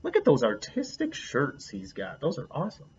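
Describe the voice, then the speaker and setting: A man reading a picture book aloud as a teacher, a lively storyteller who stops to comment on the pictures. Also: on the high side, moderate pace, highs cut off, slight background noise.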